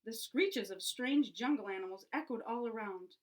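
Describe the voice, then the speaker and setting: Woman, higher-pitched voice, very lively intonation, children's read-aloud, expressive teacher-style storytelling. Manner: animated storyteller, pauses to ask young listeners questions.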